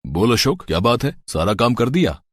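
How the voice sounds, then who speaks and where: A man voicing a stern, bossy rich employer in a cartoon moral story, with a gruff, commanding manner. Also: mid-range voice, sing-song, moderate pace, dry recording, clean studio sound.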